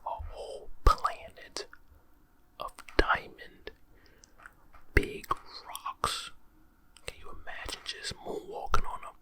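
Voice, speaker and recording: A man's voice: slow, long pauses. A man whispering space facts for an ASMR video, slangy and playful, reacting with amazement and repeating words. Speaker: ASMR creator. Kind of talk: whispered ASMR fact telling.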